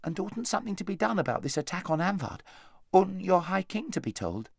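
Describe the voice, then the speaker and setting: A man's voice: sits high, big pitch swings, a little fast, gravelly, no room sound, voice pushed up, exaggerated voice. A man voicing an earnest, anxious young boy who presses with questions.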